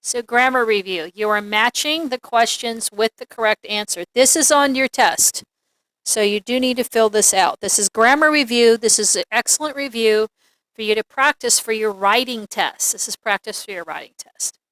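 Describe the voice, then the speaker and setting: A woman gives an English lesson in a bright, fairly high voice. She reads model sentences aloud deliberately, articulating each word for learners. The recording is close and dry. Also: no echo, poor audio. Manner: deliberate, clearly enunciated, repetitive drilling. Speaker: English teacher. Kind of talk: slow instructional English lesson, reading examples aloud.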